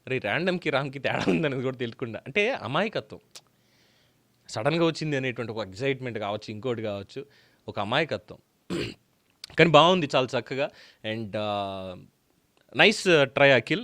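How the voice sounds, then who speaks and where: A man's voice: medium pitch, lively intonation, moderate pace, long pauses, fairly bright tone, dry recording. Chatty male host, dry close sound. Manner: mixes English words into his talk. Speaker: show host. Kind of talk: casual chatty commentary.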